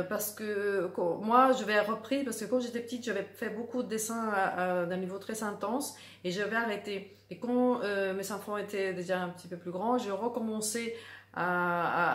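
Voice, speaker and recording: Middle-aged woman, drawing teacher, chatty and earnest, repeats words for emphasis, echoey room. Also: medium pitch. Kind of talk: casual talk to camera.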